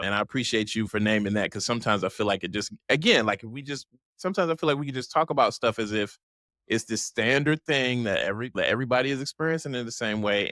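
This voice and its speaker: A man's voice: mid-range voice, a bit bright, no echo, clean studio sound. A man hosting an interview, speaking reflectively and at length, with frequent restarts. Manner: reflective, rambling, many restarts. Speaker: host. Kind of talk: conversational interview.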